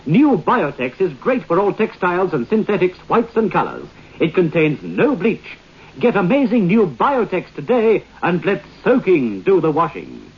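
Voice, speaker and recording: High, lively vintage ad announcer. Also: male voice, normal speed, slightly rough. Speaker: commercial announcer. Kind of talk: upbeat radio ad read. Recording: muffled old radio ad.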